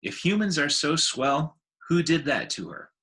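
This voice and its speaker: A man's voice: a little high, big pitch swings, unhurried, pushed and bright, voice pushed up, heavily acted. A man voicing a blunt male animal who distrusts humans, doubtful and challenging, in a storybook reading.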